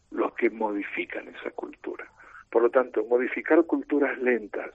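A middle-aged man with an Argentine accent, a team coach explaining his ideas in a measured, lecturing way in a radio interview. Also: on the low side, husky, no echo. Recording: tinny phone line.

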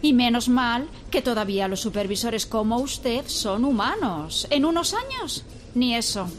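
Clienta gruñona e indignada. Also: female voice, fairly high pitch, expressive, measured pace, slight background noise. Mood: grumbling. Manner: indignant, grumbling. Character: grumpy complaining female shopper, adult. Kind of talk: radio drama acting.

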